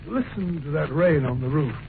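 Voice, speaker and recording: A detective's fussy medical companion in a radio mystery. His voice is high and very animated, coming through a thin, phone-like old recording. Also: moderate pace, heavy background noise. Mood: fussy, startled. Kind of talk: old-time radio drama acting.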